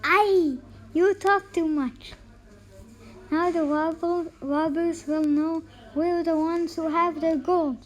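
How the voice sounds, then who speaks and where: A woman's voice: very high-pitched, fairly even intonation, slow pace. A woman voicing a clever, scolding woodcutter's wife in a storybook read-aloud. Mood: worried scolding.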